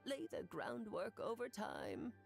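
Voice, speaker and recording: A woman's voice: a little high, big pitch swings, slow pace, vocal fry, soft background music, full character voice. An actress playing a breezy, scheming adult witch in a video game, teasing, airy and theatrically coy. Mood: breezy explaining. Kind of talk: video game character acting.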